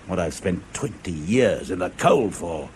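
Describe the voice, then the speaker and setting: A cornered, cynical spy in middle age. His low voice swings widely with bitterness and sardonic force, over faint background noise. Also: lively intonation, unhurried, a little mellow. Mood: angry, despairing. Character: embittered, disillusioned veteran British spy, cynical and cornered. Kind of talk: impassioned radio drama acting.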